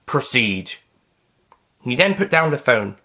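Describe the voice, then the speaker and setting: A man's voice: mid-range voice, expressive, moderate pace, sharp tone. A man reading scripted science fiction story narration as its narrator. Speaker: author and narrator.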